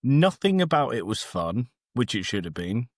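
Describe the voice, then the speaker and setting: A man's voice: medium pitch, very animated, normal speed, no room sound, clean studio sound. A man co-hosting a film podcast, chatting casually in a blunt, sweary, emphatic way. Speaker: podcast co-host. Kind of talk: casual film review chat.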